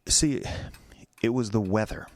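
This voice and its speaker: An American man acting in a radio drama as an anxious, defensive husband who speaks haltingly and repeats his words. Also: on the low side, a little mellow, natural voice. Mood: anxious, rambling.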